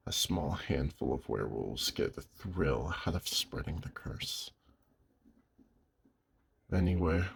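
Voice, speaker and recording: Male actor as old werewolf, extremely husky, slow and hushed, gruff yet gentle, weary, intimate ASMR roleplay. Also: exaggerated voice. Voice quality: very deep, husky, gravelly. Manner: slow, hushed, with long pauses.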